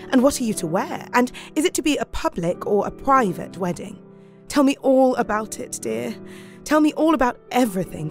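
A woman's voice: medium pitch, close to an everyday voice. An actress playing an affectionate, eager young woman, voicing a tender letter to a friend in an audio drama.

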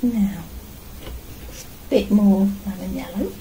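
Middle-aged woman, casual hands-on art vlogger, mellow delivery with plenty of creak, noisy home recording. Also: medium pitch, takes it slowly. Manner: chatty, talking to herself as she works. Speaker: artist and vlogger. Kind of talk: casual narration while painting. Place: small home art studio, indoors.

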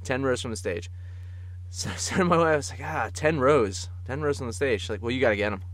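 A man's voice: mid-range voice, quick pace, a bit bright, dry recording. Casual, chuckling man on a close mic. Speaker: podcast co-host. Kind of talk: casual comic chat.

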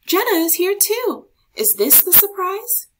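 Woman voicing an excitable high-pitched little boy. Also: unhurried, noisy background, exaggerated voice. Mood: excited, eager. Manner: exclaiming, eagerly questioning. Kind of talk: children's storybook read-aloud.

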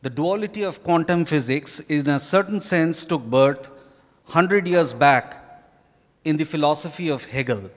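A middle-aged man with an Indian accent, speaking deliberately and haltingly as an interviewed author reading prepared remarks on stage. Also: fairly high pitch. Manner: slow, deliberate, halting delivery. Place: hotel ballroom stage. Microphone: handheld microphone. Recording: echoey ballroom with long reverb.

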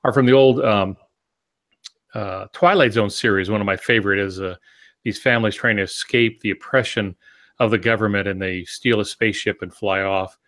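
An older man in his sixties with a fairly low voice talks through ideas as a podcast co-host. He explains conversationally, in a measured way, often pausing mid-phrase. He is on a video call from a home office. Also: fairly even intonation, fairly bright tone. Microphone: video call with earphones.

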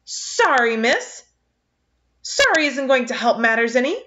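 A woman's voice: extremely high pitch, wildly swooping pitch, takes it slowly, noisy background, voice pushed up, full character voice. A woman voicing an irate, short, fat older man who splutters and blusters, heard on a slightly dull lo-fi recording. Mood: furious.

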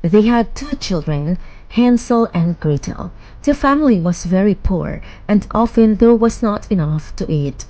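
A woman narrating a children's bedtime fairy tale in a measured, expressive storytelling manner. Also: mid-range voice, highs cut off.

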